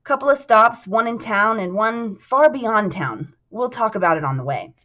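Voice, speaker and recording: A woman voicing a confident, commanding male business boss from a novel, brisk, assured and formal. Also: on the high side, animated, a bit bright, voice pushed up. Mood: cheerful, brisk. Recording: dull lo-fi sound.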